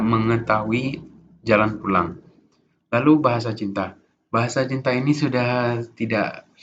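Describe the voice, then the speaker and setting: A young man with a fairly low voice talks through a class presentation, stopping and restarting as he searches for words. The recording is dull and lo-fi with a faint hiss behind him. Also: fairly bright tone. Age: young adult. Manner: hesitant, with restarts and fillers. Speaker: student presenter.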